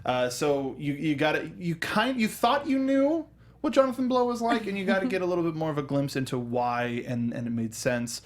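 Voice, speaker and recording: A man with a slightly high voice hosts a film review show. He speaks in a casual panel style and often doubles back, repeating the start of a phrase. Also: animated. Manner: halting, repeats and restarts phrases.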